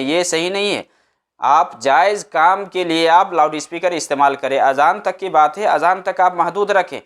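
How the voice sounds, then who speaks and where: A male Islamic preacher explains a religious ruling. His voice sits a little high and rings clean and resonant. He teaches emphatically, restating each point in short phrases. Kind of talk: religious advice talk.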